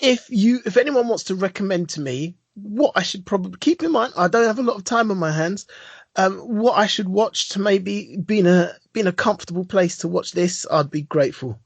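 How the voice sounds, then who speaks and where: Husky high-voiced man. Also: brisk, no room sound. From London. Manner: expressive, acts out little scenes in his stories. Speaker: podcast co-host. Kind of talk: casual chat.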